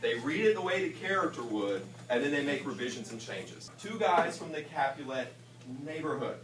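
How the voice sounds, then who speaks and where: A male English teacher leading a class discussion in a brisk, questioning way. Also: fairly high pitch, lively intonation, fairly bright tone, slight background noise. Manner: brisk, questioning, plays off students. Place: school classroom. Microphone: camera across the room. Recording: roomy classroom from far off.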